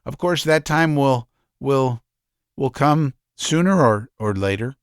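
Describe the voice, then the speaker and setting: A man voicing a gentle, guilty middle-aged doctor who speaks softly and stammers. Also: medium pitch, expressive, slow, clear, resonant tone, dry recording, clean studio sound. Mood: reflective. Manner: soft-spoken, stammering.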